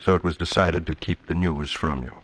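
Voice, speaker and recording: An actor playing a grave, courtly small-town judge, formal and solicitous, in a 1940s radio drama on a noisy old recording. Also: male voice, deep voice, fairly even intonation, a little fast, husky. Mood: regretful, grave.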